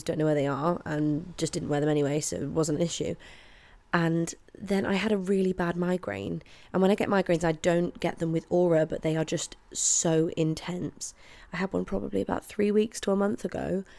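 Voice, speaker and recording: A woman in her twenties hosts her podcast from her flat late at night, her voice hoarse from a cold. She talks in a chatty, apologetic way, and her lowish voice has a gravelly edge to it. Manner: chatty and apologetic, with frequent laughs. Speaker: podcast host. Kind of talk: casual solo chat. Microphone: headphones and podcast mic.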